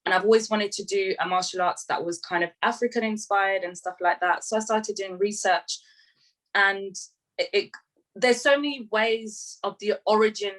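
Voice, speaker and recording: A woman's voice: mid-range voice, moderate pace, fairly bright tone, low-quality recording. Chatty young woman on a video call. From grew up in London, England. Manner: eager, chatty, many fillers and restarts. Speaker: panelist, writer and performer. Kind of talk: online panel talk.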